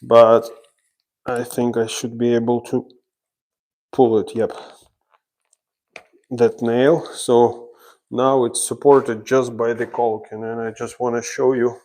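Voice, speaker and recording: A man from Ukraine, a renovation contractor, explaining his work step by step on a job-site livestream, in slow, halting speech. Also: medium pitch, flat delivery, a little mellow.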